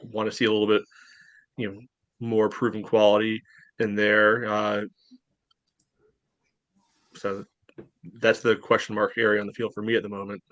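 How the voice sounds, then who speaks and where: A man with a fairly low voice co-hosts a sports podcast in a loose, easygoing way, filling his pauses with "you know". The recording is dry and close, with no room echo. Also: completely flat delivery, fairly bright tone. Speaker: podcast co-host. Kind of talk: casual sports chat.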